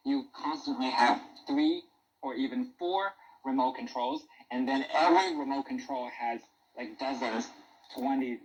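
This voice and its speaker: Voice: medium pitch, measured pace, muffled recording, slight background noise. A person chatting casually and ramblingly on a shortwave radio broadcast, heard through a small speaker.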